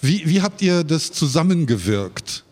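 A man moderating a panel interview, phrasing his thoughts in a reflective, measured way. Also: fairly high pitch, very animated, darkish tone.

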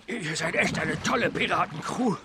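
An actor playing a grateful, bumbling rescued pirate in a children's radio play, sounding puzzled. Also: male voice, high-pitched, background noise. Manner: slow, puzzled. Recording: loud music behind.

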